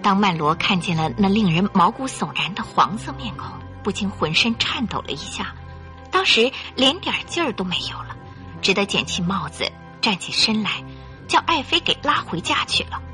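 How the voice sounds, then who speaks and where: A woman narrating a radio drama in an expressive, suspenseful storytelling style. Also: mid-range voice, moderate pace, soft background music.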